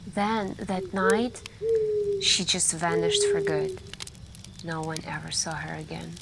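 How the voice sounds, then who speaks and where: Slow, soft-spoken woman telling spooky stories. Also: medium pitch. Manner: hushed and intimate, drifting into whispers, with pauses. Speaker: ASMR roleplay performer playing a friend telling campfire stories. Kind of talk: soft-spoken ASMR scary storytelling. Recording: steady background ambience noise. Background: crackling campfire and night ambience.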